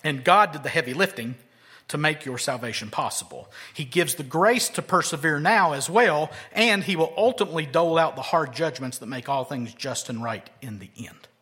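Bright-voiced male pastor preaching. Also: normal speed. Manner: dynamic preaching, swings from loud emphasis to soft low asides. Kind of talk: expository sermon.

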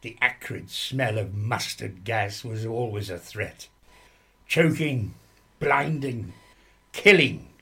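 A man's voice: on the low side, slow, pushed and bright, full character voice. A man playing a sombre soldier who recalls suffering comrades, narrating dramatically in a radio play. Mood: sorrowful.

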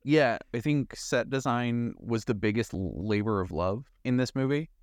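A man's voice: mid-range voice, normal speed, clear, resonant tone, no echo, studio quality. A man co-hosting a casual chat about movies, thinking aloud in loose, conversational asides. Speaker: podcast co-host.

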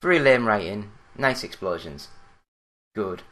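Plain-spoken monotone Yorkshire man. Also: on the low side, unhurried. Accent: Yorkshire English. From Yorkshire, England. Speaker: podcast host. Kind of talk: solo gaming news podcast.

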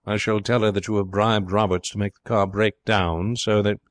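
A man reading the part of a lovesick young gentleman in a story, anxious, earnest and stammering. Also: fairly low pitch, level tone, normal speed, no echo, studio quality, lightly acted. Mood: threatening.

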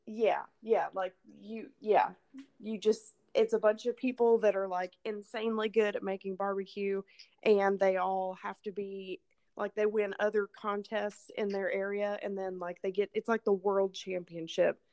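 A hoarse woman, casually telling a rambling, profane story as podcast host. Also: mid-range voice, level tone, moderate pace, a little mellow. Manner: rambling, swearing, laughing storyteller. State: hoarse, losing her voice from illness.

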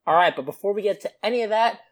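A man's voice: high voice, very fast. A young man co-hosting a pop culture podcast, chatting casually and filling gaps with uh and um. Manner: relaxed, lots of uh and um fillers. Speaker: podcast co-host. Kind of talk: casual chat.

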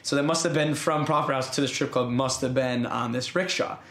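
A man's voice: mid-range voice, fairly even intonation, a little fast, a bit bright, reverberant. An American man telling a long story in casual chat, laughing often and restarting his sentences. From United States. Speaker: podcast co-host telling a story.

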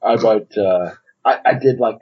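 A man's voice: lowish voice, measured pace, husky, dull audio. A man co-hosting a podcast, chatting casually about films in an easygoing, agreeable way. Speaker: podcast co-host. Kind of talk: casual film chat.

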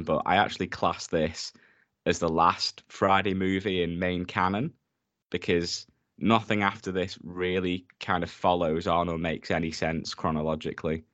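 A man co-hosting a podcast, sick with a rough voice that is deeper than usual, laying out facts steadily. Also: unhurried, no echo, dull audio. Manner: steady, presents facts and synopsis methodically. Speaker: podcast co-host. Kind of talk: casual chat.

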